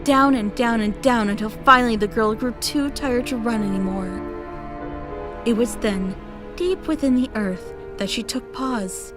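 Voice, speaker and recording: A woman narrating a scripted fantasy story in an expressive, dramatic storytelling manner. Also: fairly high pitch, unhurried. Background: music.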